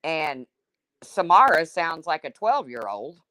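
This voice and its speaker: A woman with a deep, husky smoker's voice hosting a livestream, reading chat comments and answering them in a blunt, chatty way. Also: very animated, slow pace, bright, forceful tone, dry recording. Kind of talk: casual chat with viewers.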